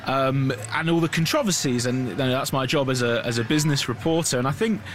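A man's voice: mid-range voice, brisk. A man speaking as a business reporter in a live news discussion, conversational and often checking in with tag questions.

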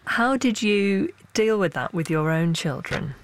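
A woman presenting a radio programme, narrating and interviewing in a crisp, playful way. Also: medium pitch, lively intonation, unhurried.

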